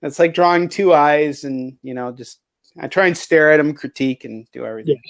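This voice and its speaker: Higher-voiced man, blunt casual talk. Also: brisk, vocal fry. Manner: blunt, frequently profane. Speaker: host, comic book writer and publisher. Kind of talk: casual interview chat.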